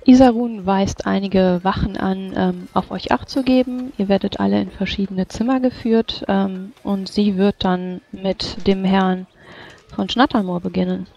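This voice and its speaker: A woman playing a tabletop role-playing game, describing her character with a creaky voice. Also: mid-range voice, fairly even intonation, measured pace, dark tone, lo-fi sound.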